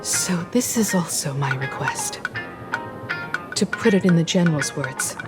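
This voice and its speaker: An actress playing a poised, mature woman who leads her companions with calm authority in a video game, speaking deliberately. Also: sits low, loud music behind the voice. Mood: grave, composed. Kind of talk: video game character dialogue.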